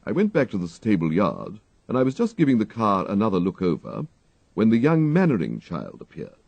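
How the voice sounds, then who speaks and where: A man's voice: on the low side, wildly swooping pitch, fairly quick, creaky, a little mellow, no room sound, highs cut off. An English man reading an audiobook as narrator, in a formal, precise, dryly comic style. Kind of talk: dry comic scripted narration in character as a valet.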